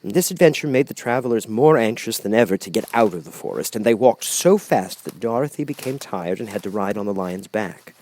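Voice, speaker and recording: A man reading a children's storybook aloud as an expressive audiobook narrator. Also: fairly low pitch, brisk, few pauses, a little hiss or hum, rough recording.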